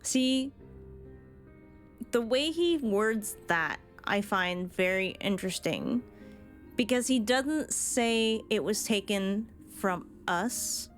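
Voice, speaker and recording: A woman's voice: medium pitch, expressive, slow, faint music behind. A woman streamer giving casual, reflective commentary on a game, analytical and happy to swear.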